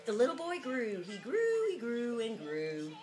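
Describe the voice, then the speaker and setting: A woman's voice: fairly high pitch, wildly swooping pitch, a little slow, music in the background. A woman giving a mock-dramatic reading of a children's picture book, with jokey, sardonic, giggly asides, on an amateur home video.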